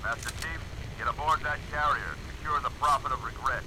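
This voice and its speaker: A man's voice: mid-range voice, background noise, close to an everyday voice. A man playing a stern fleet commander. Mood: commanding. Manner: measured, commanding. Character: stern, composed male senior fleet commander. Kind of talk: video game cutscene acting.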